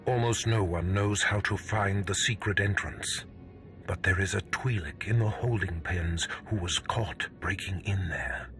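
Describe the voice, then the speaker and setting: An actor playing a stern, scheming Sith lord in a video game, speaking in a measured, imperious, menacing manner. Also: male voice, sits low, fairly bright tone, faint music behind. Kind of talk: scripted video game drama.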